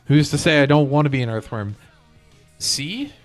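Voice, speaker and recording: A man's voice: a little high, expressive, moderate pace, no echo. A man giving casual gameplay commentary as a streamer, with game music behind him.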